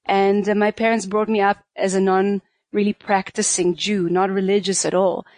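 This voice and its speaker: A woman's voice: mid-range voice, flat delivery, normal speed, darkish tone, no echo. Earnest Israeli-born woman, dry sound. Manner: earnest, searching for words, many fillers. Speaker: guest, pleasure activist. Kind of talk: reflective interview answers.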